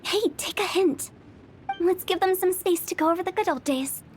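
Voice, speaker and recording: A woman's voice: very high-pitched, normal speed, darkish tone, a little hiss or hum. An actress voicing a playful young woman who runs a funeral parlor, teasing one moment and solemn the next. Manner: teasing, then solemn when explaining. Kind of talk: game cutscene voice acting.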